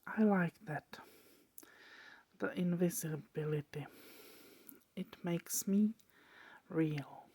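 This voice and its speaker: A woman voicing a weary, centuries-old male vampire, brooding and poetic, in a hushed, halting ASMR roleplay whisper. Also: fairly low pitch, a little hiss or hum. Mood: brooding, wistful.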